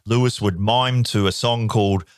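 A man, co-hosting a podcast and telling comic stories in an animated way, slipping into voices. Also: on the low side, moderate pace, clear, resonant tone, no echo, studio quality. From New Zealand. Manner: animated storyteller who slips into voices. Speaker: podcast co-host. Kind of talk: casual chat, comic anecdotes.

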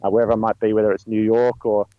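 A man's voice: lowish voice, level tone, racing, no echo, muffled recording. A man with an Australian accent, chatting as an interview guest, rambling with many fillers and restarts. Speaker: guest, stuntman. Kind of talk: casual interview talk.

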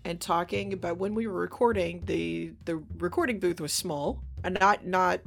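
A woman with a creaky edge to her voice, explaining her directing process as a guest in a casual interview. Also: on the low side, moderate pace. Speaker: audio drama creator and director, interview guest.

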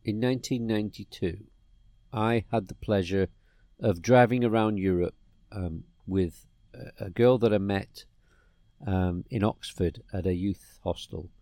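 A middle-aged man from England chats on a podcast and spins a meandering story full of restarts. His voice is warm and mellow. The sound is dry and close. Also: fairly low pitch, measured pace, no room sound. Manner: rambling storyteller, many restarts. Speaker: podcast co-host. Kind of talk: casual chat.

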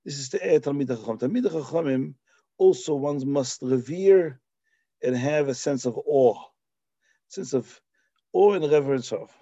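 A man's voice: mid-range voice, moderate pace, mellow, no room sound, highs cut off. A man raised in Belgium giving a Talmudic lecture, explaining passages with Hebrew and Yiddish terms and frequent restarts. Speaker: Torah teacher.

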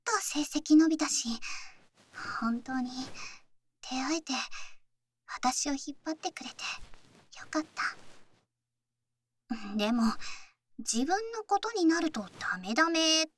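A woman playing an affectionate, teasing young athlete girlfriend in an intimate ASMR voice drama, speaking softly and often whispering close to the mic. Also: high-pitched, animated. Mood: earnest, affectionate. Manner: soft, slow, often whispered, gently teasing. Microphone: close-up studio mic, dry.

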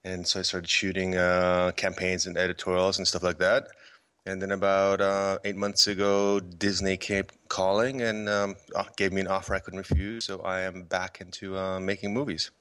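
A man's voice: sits low, completely flat delivery, normal speed, fairly bright tone, clear, resonant tone. A middle-aged man from Poland, raised on the American East Coast, speaking as a podcast guest in a loose, rambling way with lots of fillers and restarts. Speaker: podcast guest, filmmaker and photographer. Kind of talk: relaxed conversational interview.

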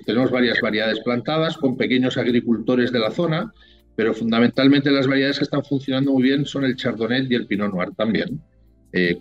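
A man's voice: medium pitch, highs cut off. A man from Spain with a Spanish accent, a winemaker talking casually as an interview guest, rambling and full of tag questions.

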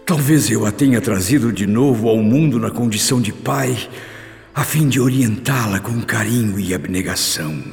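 A man's voice: lowish voice, vocal fry, darkish tone. An actor playing an anguished, repentant middle-aged father with a Brazilian accent, in a solemn, pleading radio drama monologue. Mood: troubled, remorseful. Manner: slow, solemn, pleading delivery. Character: anguished repentant father, guilt-ridden former brute. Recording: long reverb with music behind. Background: music.

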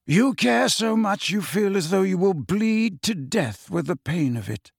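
A man performs an elderly wizard headmaster for an audiobook, grave and kindly. He speaks slowly and with quiet composure, his measured phrases rising and falling in pitch. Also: animated, vocal fry, breathy edge, no room sound, clean studio sound, heavily acted. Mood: calm, firm. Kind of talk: audiobook character voice.

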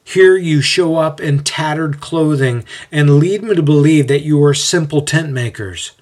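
A man narrating a story voices an eager, friendly young man, animated and informal in his speech. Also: a little high, unhurried, voice pushed up, close to an everyday voice. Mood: amazed.

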